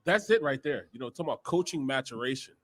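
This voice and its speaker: A man's voice: mid-range voice, lively intonation, quick pace, fairly bright tone. A man giving casual football analysis on a talk show, laying out his points one by one. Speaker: sports show panelist.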